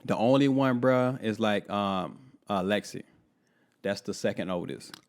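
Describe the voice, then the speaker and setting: A man co-hosting a casual podcast, talking in an animated, teasing and slangy way. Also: lowish voice, unhurried. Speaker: podcast co-host. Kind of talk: casual chat.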